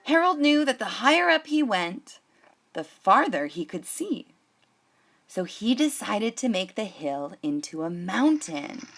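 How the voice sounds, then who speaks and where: A woman's voice: mid-range voice, sing-song, a little slow, fairly bright tone, faint music behind. A woman hosting a children's storytime, reading a picture book aloud expressively and addressing young listeners.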